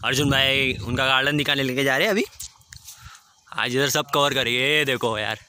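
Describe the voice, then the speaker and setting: A man's voice: mid-range voice, fairly even intonation, normal speed, over music. A young man in his twenties giving a casual phone vlog tour of a large factory shed, chatty and keeps pointing things out. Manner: chatty, keeps saying "dekho" and "barabar". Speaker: vlogger. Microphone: phone held at arm's length.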